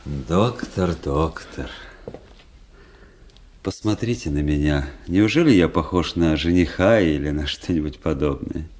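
A man's voice: extremely low pitch, a little slow, darkish tone, a little hiss or hum. An actor playing a cool, proud young nobleman officer in a Russian radio drama, speaking with composed, self-assured irony. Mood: dry irony.

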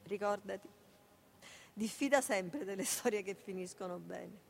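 A woman's voice: mid-range voice, a little slow, creaky. An actress with a Neapolitan accent playing a harried, proud, affectionate single mother in a radio play, emphatic and repetitive. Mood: wry explaining. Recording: noisy radio theatre recording.

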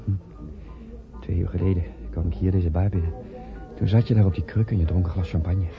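An actor playing an enraptured, wondering suitor in a romantic radio play. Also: male voice, deep voice, steady tone, fairly quick. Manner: tender, wondering. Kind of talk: romantic radio drama acting. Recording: dull old radio recording, music behind. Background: loud music.

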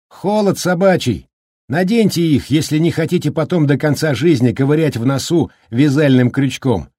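A cheerful middle-aged snowplow driver, put on by a man reading a storybook, pitched well above the reader's own voice and louder than him. He speaks in a brisk, folksy, joking way, with a husky edge carried over from the reader, on a dry close recording. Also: dry recording.

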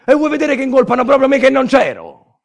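A man's voice: very high-pitched, very animated, fast talker, vocal fry, pitched above the performer's own voice, heavily acted. A man voicing a worried, indignant piece of iron afraid of being blamed, in a stage story. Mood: alarmed indignation. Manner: loud, indignant, alarmed.

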